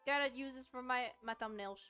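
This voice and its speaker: A woman with a fairly high voice plays and reads a visual novel for viewers. She is playful and rambles casually, with music behind her. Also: brisk, pushed and bright, clear, resonant tone, highs cut off. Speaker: streamer playing and reading a visual novel. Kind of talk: casual let's-play chat and read-aloud.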